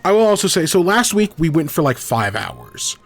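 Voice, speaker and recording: A man's voice: a little high, very animated, moderate pace, vocal fry, soft background music. Congested young man hosting a podcast. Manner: rambling, self-correcting. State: congested, scratchy throat. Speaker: podcast host. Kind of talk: casual livestream chat.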